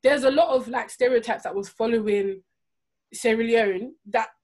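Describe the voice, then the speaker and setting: A chatty woman on a podcast, loose and slangy, laughing through her words. Her voice sits a little high. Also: normal speed, a little mellow. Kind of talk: casual chat.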